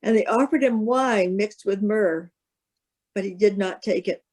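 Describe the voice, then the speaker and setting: A woman's voice: medium pitch, unhurried, darkish tone. A woman reading a gospel passage aloud as scripted narration. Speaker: scripture reader.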